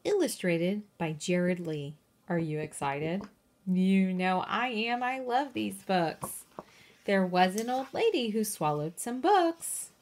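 A woman reads a picture book aloud for young children in a very animated voice that swings up and down. Her pace is slow and measured, with sing-song emphasis on key words. She sits at a medium pitch and speaks directly to her little listeners. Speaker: read-aloud storyteller.

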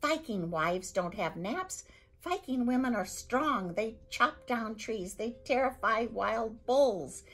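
A woman voicing a loud, blustering male Viking chief who roars his lines. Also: medium pitch, very animated, slow pace, slightly rough, voice pushed up, heavily acted. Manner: shouting, indignant bluster.

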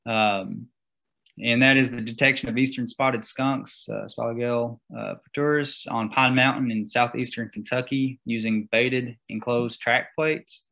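A young man presenting slides in a seminar talk over a video call, halting and full of fillers. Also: medium pitch, steady tone, vocal fry, pushed and bright. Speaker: guest speaker.

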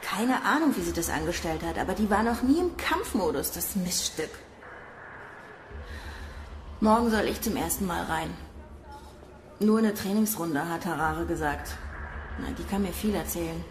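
An actress playing a cynical young space recruit in a radio drama, blunt, slangy and gossipy. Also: female voice, fairly low pitch, lively intonation, normal speed, a little hiss or hum, close to an everyday voice. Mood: cynical gossip.

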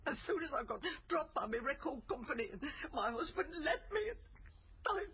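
A woman's voice: high-pitched, very animated, moderate pace, highs cut off, slight background noise. An actress playing a bitter, harassed middle-aged mother, aggrieved, in a naturalistic radio drama. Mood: bitter, upset. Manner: aggrieved, exasperated. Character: bitter, harassed mother, a faded female singer.

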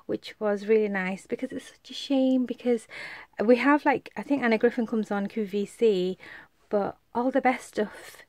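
A woman's voice: fairly high pitch, normal speed, a little mellow, no room sound. Chatty woman with a stuffy cold. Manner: chatty, rambling, many fillers. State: congested, coming down with a cold. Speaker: craft vlogger. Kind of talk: casual show-and-tell.

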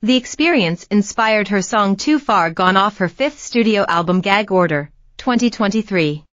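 A woman narrates a celebrity news piece in a steady, even read-aloud style. Her voice sits in a middle pitch. The recording is close and dry, with a slightly dull, muffled tone. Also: normal speed, no room sound.

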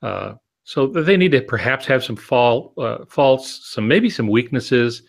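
A man's voice: medium pitch, expressive, a little slow, creaky. A man in his sixties co-hosting a podcast, explaining points from a home office on a video call. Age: 60s. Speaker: podcast co-host. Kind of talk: explanatory podcast talk on writing.

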